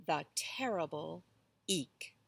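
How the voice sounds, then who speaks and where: A woman reading a children's story aloud with expressive, deliberate phrasing. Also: sits low, creaky, husky.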